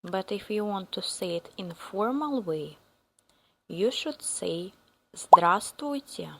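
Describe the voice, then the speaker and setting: A woman with a Russian accent teaching a beginner language lesson, repeating each phrase slowly for learners to copy. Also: medium pitch, expressive.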